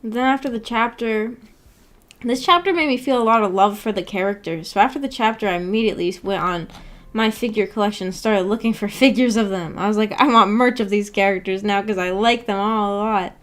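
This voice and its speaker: Woman, mid-pitched voice, livestream host, casual chat, giggly, unhurried, rambling. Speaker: livestream host of a visual novel book club. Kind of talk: casual livestream chat, replying to viewer comments.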